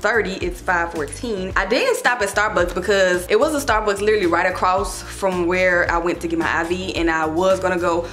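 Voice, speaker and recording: A woman's voice: lowish voice, a little fast, few pauses, fairly bright tone. A young American woman chatting casually and directly to her viewers in an informal, slangy way, as a vlogger. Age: young adult. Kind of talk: casual chat to camera. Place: indoors, mostly a home kitchen. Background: music.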